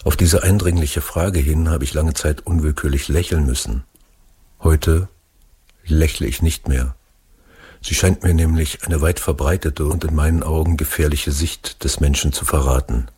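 A man reading an essay excerpt aloud in a measured, deliberate way, with a husky voice. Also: a bass voice, fairly even intonation, a little mellow.

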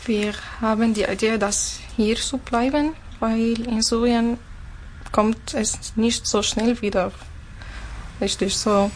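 A woman's voice: a little high, steady tone, slow, slight background noise, rough recording. A woman from Syria with an Arabic accent, answering as an interview guest in non-native phrasing. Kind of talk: personal talk in a radio interview.

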